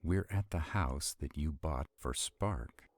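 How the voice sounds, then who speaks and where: A man's voice: very deep voice, takes it slowly, dry recording, exaggerated voice. A man playing an arrogant, skeptical and protective vampire in a roleplay audio drama, haughty and commanding. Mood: irritated, haughty. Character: arrogant, skeptical, protective male vampire, irritable under strain.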